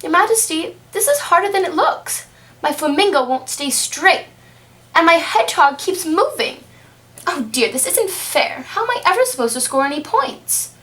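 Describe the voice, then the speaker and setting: A woman's voice: very high-pitched, sing-song, moderate pace, bright, forceful tone, voice pushed up, heavily acted. A woman voicing a polite, curious young girl with an earnest manner in an amateur puppet show. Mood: frustrated. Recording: roomy, distant microphone.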